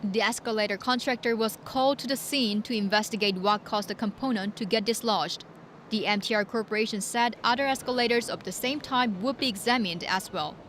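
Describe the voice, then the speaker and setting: A woman's voice: fairly high pitch, fairly quick, a bit bright. A woman narrating a scripted news report as a reporter. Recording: noisy urban traffic ambience behind.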